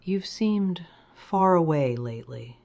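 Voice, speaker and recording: A woman playing a gentle, caring wife with dry wit in an audio drama, soft-spoken and affectionate. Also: lowish voice, expressive, slow, creaky, exaggerated voice. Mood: tender concern. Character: gentle, wryly humorous wife, caring and observant.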